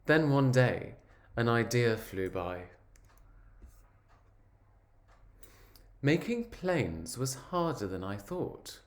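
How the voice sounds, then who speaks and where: A man voicing an earnest, wistful egg who tells his own story in a measured, reflective picture-book narration. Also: medium pitch, sing-song. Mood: hopeful.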